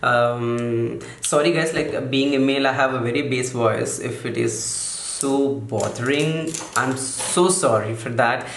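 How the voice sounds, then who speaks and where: A man calmly walking through makeup brushes in a casual vlog, softening his voice on purpose and often stopping to correct himself with fillers. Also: mid-range voice, roomy sound, slight background noise. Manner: halting, many fillers and self-corrections; deliberately softened, soothing delivery. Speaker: makeup vlogger. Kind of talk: casual product walkthrough.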